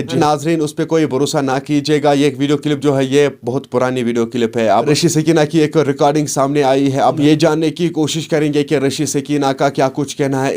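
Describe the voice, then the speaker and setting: A man's voice: on the high side, a little mellow. A man presenting an online news update, addressing viewers directly.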